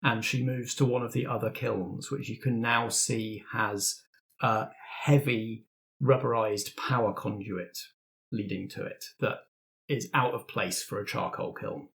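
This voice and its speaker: A man narrating a tabletop roleplaying game as game master, in deliberate, descriptive phrases. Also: lowish voice, fairly even intonation, vocal fry, a bit bright, rough recording.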